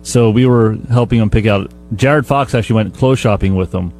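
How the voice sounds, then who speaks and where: Dark-voiced man casually recounting on air. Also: on the low side, brisk, faint music behind. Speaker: radio show producer.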